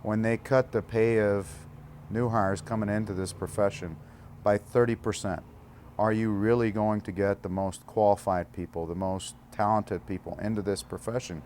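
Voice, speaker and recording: Male interviewee, union spokesman type, deep pitch, faint noise around him. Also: steady tone, normal speed, darkish tone. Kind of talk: interview answers. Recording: noticeable ambient background noise.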